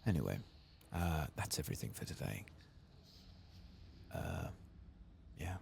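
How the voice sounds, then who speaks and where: A man giving hushed, laid-back ASMR game commentary, speaking softly and casually close to the mic. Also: very deep voice, sing-song, a little slow. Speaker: ASMR gaming host. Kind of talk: soft-spoken and whispered ASMR game commentary. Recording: clear background noise and game ambience.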